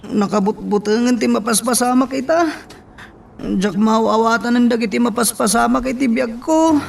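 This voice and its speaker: A man's voice: very high-pitched, normal speed, vocal fry, recorded from a distance, slight background noise, full character voice. An actor playing a distraught young man in love who pleads plaintively with an older woman in an Ilocano radio drama. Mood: anguished. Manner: plaintive, emotional.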